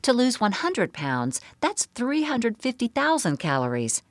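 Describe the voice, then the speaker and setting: A woman giving a scripted, explanatory narration for a medical presentation in a measured way. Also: on the high side, huge pitch swings, no room sound. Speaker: seminar narrator.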